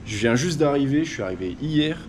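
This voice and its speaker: A thirty-year-old man from northern France giving a casual, improvised travel vlog with hesitant, drawn-out pauses. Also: medium pitch, expressive, darkish tone, slight background noise. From Nord-Pas-de-Calais, northern France. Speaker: travel vlogger.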